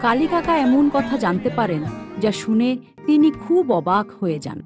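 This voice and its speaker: Animated high-voiced woman narrator. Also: moderate pace, crowd noise. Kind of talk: scripted story narration for a cartoon. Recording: music behind the voice. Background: music.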